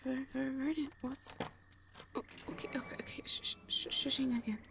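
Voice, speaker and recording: A woman playing a shy, frightened, wounded owl beast-woman in an audio drama, stammering timidly in a soft, breathy, often whispering voice. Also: high-pitched, fairly even intonation, a little fast, slight background noise. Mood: startled, anxious. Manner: timid, heavily stammering, hesitant. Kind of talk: audio roleplay drama acting.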